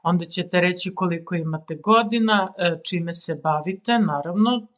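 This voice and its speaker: A woman in her forties from Serbia with a Serbian accent, a native Serbian speaker giving an informal language lesson in a halting, deliberate way. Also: fairly low pitch. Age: 40s. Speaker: language teacher. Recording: dull lo-fi sound.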